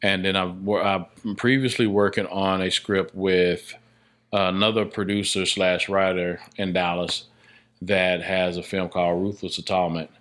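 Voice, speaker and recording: Male podcast guest, low-pitched voice, conversational and emphatic, circles back over his phrases. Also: level tone, moderate pace, fairly bright tone. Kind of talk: casual interview conversation.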